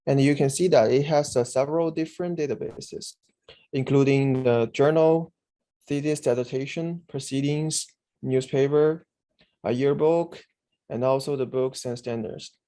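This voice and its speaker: A man giving a screen-share tutorial on searching a database, speaking haltingly with many fillers and restarts. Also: medium pitch, steady tone, mellow, rough recording. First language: Chinese. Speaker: instructor demonstrating a database.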